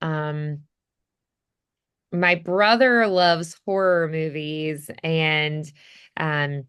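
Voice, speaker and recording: A woman's voice: deep voice, steady tone, drawn-out words, fairly bright tone, clear, resonant tone. A woman teaching voice acting in a casual one-on-one chat, thinking aloud hesitantly and saying "like" often.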